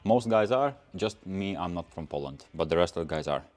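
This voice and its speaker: Deep-voiced Latvian man chatting on a livestream. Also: expressive, brisk, darkish tone, soft background music. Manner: loose and rambling, many fillers and restarts. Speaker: car channel host. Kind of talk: casual livestream Q&A reading chat.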